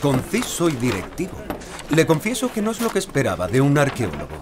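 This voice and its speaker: A male actor playing a polite, forthright aristocratic gentleman in an audio drama. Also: on the high side, wildly swooping pitch, brisk, background noise. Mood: appraising.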